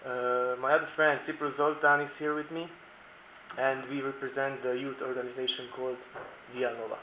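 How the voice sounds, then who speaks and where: Formal lawyer presenting in an echoey room. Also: male voice, medium pitch, flat delivery, moderate pace. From Slovakia. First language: Hungarian. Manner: formal, reads from notes, careful non-native phrasing. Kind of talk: prepared conference presentation. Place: conference room. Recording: echoey room, dull sound, faint hiss.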